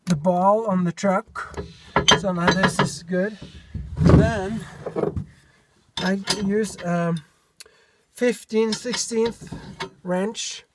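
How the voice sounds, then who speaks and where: A Norwegian man with a Norwegian accent, showing a hitch step by step in a halting way, full of fillers, recorded outdoors on a camera mic. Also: high-pitched, steady tone, very noisy. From Norway. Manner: halting, searching for words, many fillers. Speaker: DIY vlogger. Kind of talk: hands-on how-to demonstration. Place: outdoors by a pickup truck bed.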